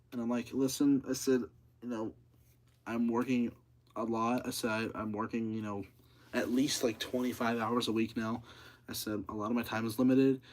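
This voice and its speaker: A seventeen-year-old young man talks to his livestream chat in a flat, monotonous voice. He rambles with many fillers and says the same thing again and again. His pitch sits in the middle of the usual range for a man. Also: poor audio.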